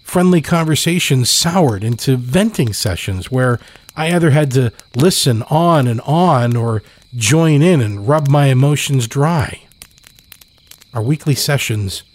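A man reading scripted narration as a storyteller and podcast host. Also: mid-range voice.

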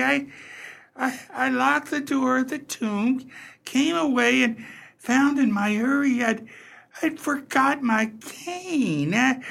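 A woman acts out a frightened beadle from a radio play, high-pitched and unhurried. The speech stammers and halts, folksy and deferential, with creaky edges. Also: fairly bright tone, full character voice. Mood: nervous, apologetic. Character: frightened, flustered beadle who tends the family vault.

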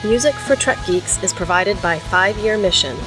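A woman reading podcast credits in a smooth, scripted announcer delivery. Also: medium pitch, lively intonation, moderate pace, poor audio. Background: guitar music.

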